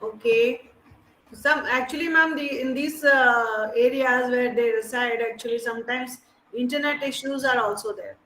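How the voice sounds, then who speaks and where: Bright high-voiced woman counselor. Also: normal speed, echoey room, rough recording. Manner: calls on students one by one, hesitant fillers. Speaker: academic counselor. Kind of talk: leading an online class introduction. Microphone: video call.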